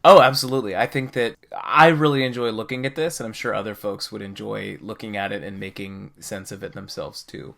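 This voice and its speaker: A man's voice: lowish voice, steady tone, brisk, vocal fry, few pauses, fairly bright tone. A man hosting a podcast in a conversational, reflective way, often checking in with the listener. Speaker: podcast host. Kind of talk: casual podcast discussion.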